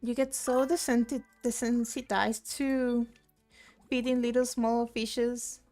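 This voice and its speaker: Young woman, from Argentina, casual streamer, rambling chat, slightly high voice, soft game music behind. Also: flat delivery, unhurried. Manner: chatty, reads chat aloud, rambling with fillers. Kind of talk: casual live-stream chat while gaming.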